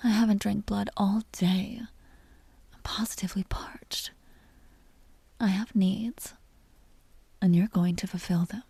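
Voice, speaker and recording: Breathy, slow-whispering vampire duchess, acted by a woman. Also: mid-range voice, steady tone, mellow, dry recording, full character voice. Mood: hungry, commanding. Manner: slow, teasing, genteel and commanding. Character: seductive, possessive vampire duchess, commanding yet tender. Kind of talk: intimate whispered roleplay audio drama.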